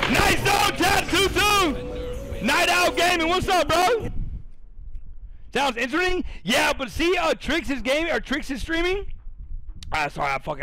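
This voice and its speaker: Young guy streamer calling a game match, voice going hoarse, gravelly and high, kinda drawly. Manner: excitable, profane, chatting with viewers. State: losing his voice. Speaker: streamer casting a tournament. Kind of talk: live game commentary.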